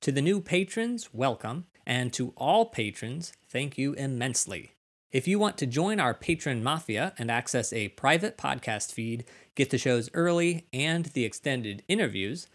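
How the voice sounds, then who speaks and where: A man's voice: mid-range voice, fairly bright tone. A man hosting a podcast, reading introductions and putting questions to a guest. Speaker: podcast host and interviewer. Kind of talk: scripted podcast introduction and interview.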